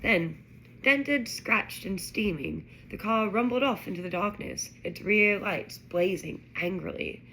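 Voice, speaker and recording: A woman narrating a children's fantasy story aloud in an expressive reading style. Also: medium pitch, unhurried, slight background noise, rough recording. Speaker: audiobook-style reader.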